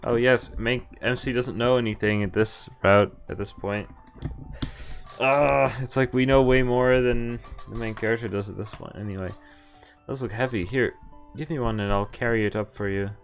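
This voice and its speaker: A man streaming a game, reading its text aloud with animated, jokey reactions and casual commentary, sometimes stumbling over words and correcting himself. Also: medium pitch, normal speed. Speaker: game streamer doing a visual novel playthrough. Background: game music.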